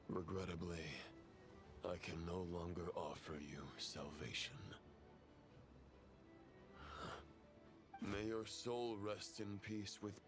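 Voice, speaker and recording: An actor playing a solemn, judging fallen knight in a fantasy game, with grave and formal delivery. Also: male voice, low voice. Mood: solemn regret. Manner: grave, formal, measured pronouncements. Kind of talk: dramatic scripted game voice acting. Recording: background music under the voice.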